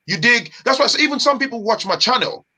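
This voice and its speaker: Animated man, bright voice. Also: very high-pitched, a little fast. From Nigeria. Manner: animated, emphatic, street slang. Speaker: guest. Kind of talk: casual chat.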